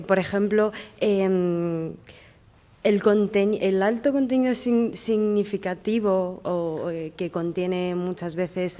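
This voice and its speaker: A woman's voice: lowish voice, mellow. A woman whose first language is Chinese, hesitantly asking questions from the audience at a talk. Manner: hesitant, many fillers. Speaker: audience member.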